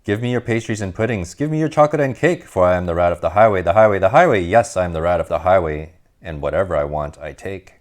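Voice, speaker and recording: Storybook villain: a greedy swaggering rat robber, bellowing, deep, read by a man. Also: lively intonation, quick pace, few pauses. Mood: boastful. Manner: boastful, bellowing demands.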